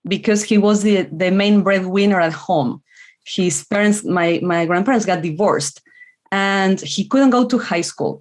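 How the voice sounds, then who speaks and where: A middle-aged woman from Argentina with an Argentine Spanish accent, whose first language is Spanish. She answers interview questions in an earnest, reflective way, often restarting her sentences. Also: mid-range voice. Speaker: guest author.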